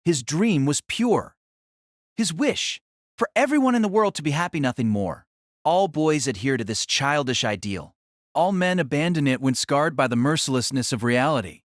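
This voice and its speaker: A man narrating a novel as a dramatic audio reading, solemn and theatrical, with measured phrasing. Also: on the high side, expressive, no room sound, clean studio sound.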